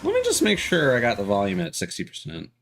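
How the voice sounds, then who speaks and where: A man's voice: medium pitch, wildly swooping pitch, fast talker, a bit bright, faint music behind. A man live-streaming a video game, commenting casually and reading story text aloud.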